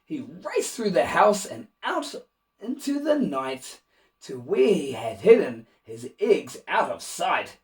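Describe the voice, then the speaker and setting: A man reading a rhyming children's picture book aloud in an expressive, animated way, with asides to young listeners. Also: fairly high pitch, distant mic. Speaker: children's storybook reader and host.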